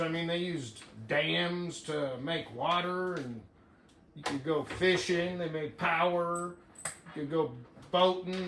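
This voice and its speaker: Casting: American male hobby vlogger, folksy and unscripted, slightly high voice, recorded in an echoey room. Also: a little hiss or hum. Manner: folksy, plain-spoken, unscripted. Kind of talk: casual rambling talk to camera. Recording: roomy echo.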